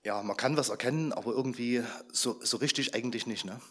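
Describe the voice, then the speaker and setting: Slightly hoarse man talking to a crowd. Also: mid-range voice, fast talker, a bit bright. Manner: chatty, asks the audience questions. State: slightly hoarse, under the weather. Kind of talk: informal talk to a gathered audience.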